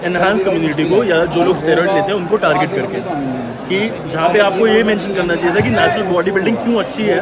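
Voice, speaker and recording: A young man, a bodybuilding competitor, bluntly and heatedly complaining to a phone camera in a crowded expo hall. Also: high-pitched. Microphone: phone held at arm's length. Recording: loud crowd behind.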